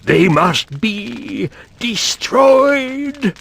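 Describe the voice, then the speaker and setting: A man voicing the solemn, proud spirit of a dead reptilian emperor in a fantasy video game, stately and gravelly. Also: very high voice, very animated, very slow, fairly bright tone, exaggerated voice. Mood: solemn, imploring.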